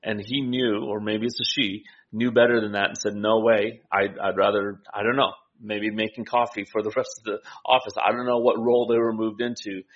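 A man's voice: on the low side, highs cut off. A man co-hosting a casual political discussion, explaining points and voicing imagined quotes as he goes. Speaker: political talk show co-host.

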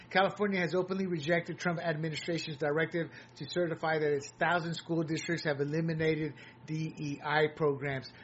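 A man from Puerto Rico co-hosting a political commentary show, talking emphatically and getting loud when he makes a point. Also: high-pitched. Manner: animated, emphatic, rising to near shouting when worked up. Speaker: co-host. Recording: dull lo-fi sound with faint noise.

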